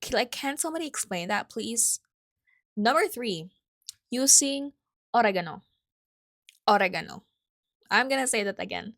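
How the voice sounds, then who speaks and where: A woman's voice: fairly high pitch, expressive, moderate pace, pauses often, fairly bright tone. A young woman from the Philippines with a Filipino accent, hosting a casual solo podcast in a chatty way, often correcting herself and adding asides. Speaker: podcast host.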